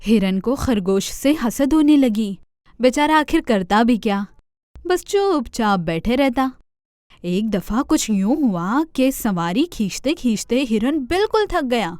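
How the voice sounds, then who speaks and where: A woman narrating a children's cartoon fairy tale in an expressive storytelling manner, speaking to kids. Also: fairly high pitch, no echo, clean studio sound.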